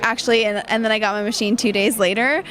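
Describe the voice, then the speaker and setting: A woman from Southern California speaks in a slightly high voice. She is earnest and rambles, often restarting her sentences. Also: fairly even intonation, fast, a bit bright. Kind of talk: testimonial.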